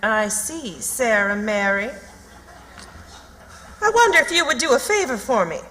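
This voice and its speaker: Shrewd, motherly Southern busybody, high and swooping, for a reverberant radio play. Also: big pitch swings, a little slow, sharp tone, slight background noise, exaggerated voice. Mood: shrewd, probing. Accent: American South. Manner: folksy, probing. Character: shrewd, folksy frontier countrywoman, motherly and nosy. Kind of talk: radio theatre acting. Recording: long reverberant hall sound.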